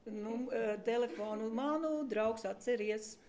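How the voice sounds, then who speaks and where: A woman interpreting a sermon live, phrase by phrase, with halting starts and self-corrections. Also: a little high, darkish tone. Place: church hall.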